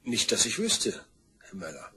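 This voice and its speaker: Male witness, radio crime play: rough breathy voice, offhand, slightly provocative. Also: on the low side, huge pitch swings, measured pace, pushed and bright, exaggerated voice. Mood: casual, halting. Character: offhand, needling younger-brother type, male crime witness. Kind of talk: German radio crime drama acting.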